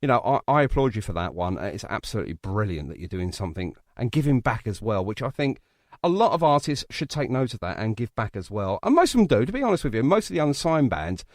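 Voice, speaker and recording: A man's voice: mid-range voice, sing-song, fast, no room sound, clean studio sound. A middle-aged man hosting a chatty music interview, talking in an enthusiastic, rambling way. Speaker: radio and podcast host.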